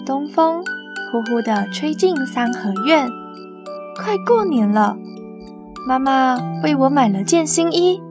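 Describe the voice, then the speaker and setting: A woman's voice: high-pitched, lively intonation, takes it slowly, loud music behind the voice. A woman with a Taiwanese accent reading a picture book as scripted narration.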